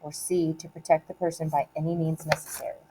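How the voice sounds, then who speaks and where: A woman reading aloud like a teacher giving a test, in a measured, deliberate way with short, separated phrases. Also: low-pitched, little pitch movement, noisy background. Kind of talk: read-aloud of multiple-choice questions and quoted play lines.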